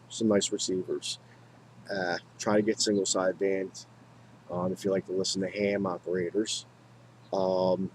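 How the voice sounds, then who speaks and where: An American man talking casually and unscripted to viewers as a hobbyist, in a halting, rambling way, often repeating himself. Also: fairly low pitch, darkish tone, a little hiss or hum, rough recording. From United States. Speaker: hobbyist video maker.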